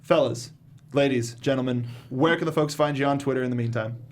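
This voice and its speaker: A man's voice: mid-range voice, fairly quick. A man hosting a casual panel chat show, talking conversationally to co-hosts and viewers. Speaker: show host.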